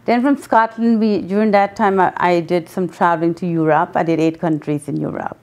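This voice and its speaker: Lady in her 60s from guyana, lowish voice, slow and earnest with lots of ums. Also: fairly even intonation, a little mellow. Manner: earnest, with many pauses and ums. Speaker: guest, memoir author. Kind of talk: interview answers.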